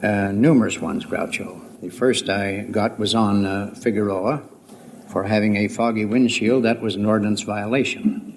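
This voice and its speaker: Formal american guy, kinda low voice, 50s radio quiz contestant, slight noise. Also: steady tone, moderate pace. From Pennsylvania. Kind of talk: polite 1950s radio interview. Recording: faintly noisy 1950s radio recording.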